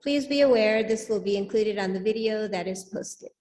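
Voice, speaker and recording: A woman's voice: medium pitch, normal speed, a little mellow. A woman leading a church service, reading out formally to the congregation. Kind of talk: read benediction and announcements. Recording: echoey hall with long reverb.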